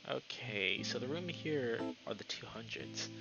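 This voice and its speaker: A man's voice: mid-range voice, lively intonation, measured pace, creaky, highs cut off, loud music behind the voice. A man live streaming a game, chatting casually and reading the story text aloud, sometimes tripping over words. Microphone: streaming mic with noise filtering.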